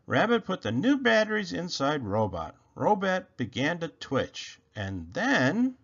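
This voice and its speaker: A man's voice: on the high side, wildly swooping pitch, measured pace, creaky, fairly bright tone. A man narrates a children's storybook with lots of melodic movement. He takes his time over each sentence in a mid-range voice, and the recording is a little muffled. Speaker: storybook read-aloud narrator.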